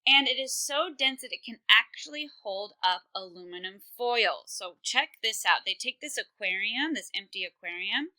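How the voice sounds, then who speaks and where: A woman's voice: fairly high pitch, animated, normal speed, hardly any pauses, bright, forceful tone, poor audio. Chatty woman teaching chemistry. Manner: casual, chatty, many fillers. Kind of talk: recorded online lesson.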